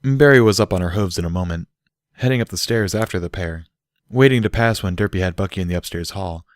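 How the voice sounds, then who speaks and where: A man's voice: low voice, fast talker, no room sound, studio quality. A man reading a story aloud as an audiobook narrator in scripted prose. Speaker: audiobook reader.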